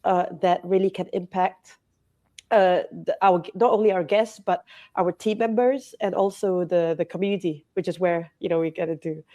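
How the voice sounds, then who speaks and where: Mellow-voiced woman guest from Malaysia. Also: fairly low pitch, steady tone, normal speed. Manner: chatty, frequent restarts and fillers, laughs often. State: hay fever, a bit nasal. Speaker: hotel company CEO, podcast guest. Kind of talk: casual interview conversation.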